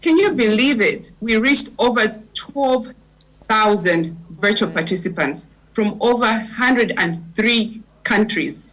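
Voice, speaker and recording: A woman's voice: a little high, lively intonation, slow pace, fairly bright tone, rough recording. Enthusiastic Zambian woman presenting. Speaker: co-host of a forum.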